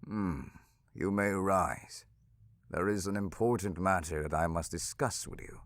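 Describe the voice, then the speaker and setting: Man voicing a grave commanding king in a fantasy audio play, low voice, really dry close sound. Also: no echo. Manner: measured, formal, commanding. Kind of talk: fantasy audio roleplay acting.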